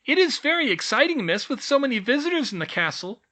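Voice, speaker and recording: A reader voicing Tilly, an older female house-elf teacher who squeaks in broken grammar. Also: big pitch swings, fast talker, sharp tone, heavily acted. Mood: earnest.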